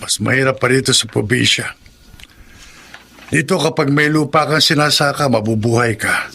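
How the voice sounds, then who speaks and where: A man's voice: medium pitch, moderate pace, creaky, pushed and bright, breathy edge, heavily acted. An actor playing a hardworking, weary middle-aged farmer father with a Filipino accent in a naturalistic TV drama, earnest and plainspoken. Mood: concerned.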